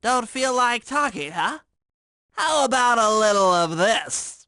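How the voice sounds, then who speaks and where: A man's voice: very high voice, animated, unhurried, pushed and bright, no room sound, studio quality, full character voice. A man voicing a nerdy, anxious young male turtle inventor and hacker in a video game, speaking in precise, wordy technical phrases. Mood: confident.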